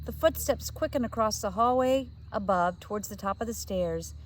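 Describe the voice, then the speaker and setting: A woman narrating a children's story aloud in an expressive read-aloud style, like a teacher. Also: medium pitch, normal speed, a little hiss or hum, rough recording.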